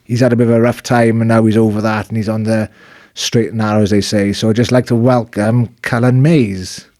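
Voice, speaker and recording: A man hosting a casual podcast, introducing his guest in a relaxed, slightly halting way. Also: on the low side. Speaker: podcast host.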